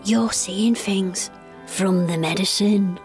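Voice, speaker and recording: A woman playing a gruff, defiant young thief among female penitents in a period audio drama, blunt and slangy. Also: medium pitch, slow, music in the background, natural voice. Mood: evasive, scornful. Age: young adult. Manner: blunt, surly.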